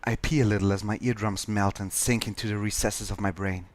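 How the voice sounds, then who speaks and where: A man's voice: lowish voice, fairly even intonation, a little fast, close to an everyday voice. A man voicing an angsty, average teenage schoolboy, narrating a visual novel parody with mock-dramatic earnestness. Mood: earnest.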